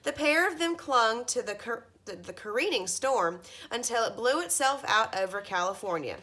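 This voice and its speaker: A woman in her thirties reading a children's storybook aloud like a teacher, with expressive emphasis at the starts of phrases. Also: fairly high pitch, normal speed. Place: carpeted living room at home, indoors.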